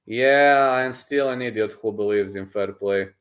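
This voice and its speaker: A man, a streamer chatting casually with his viewers, boastful and excitable, often repeating himself. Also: on the low side, measured pace. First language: Serbian. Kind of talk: casual livestream chat, reading messages aloud.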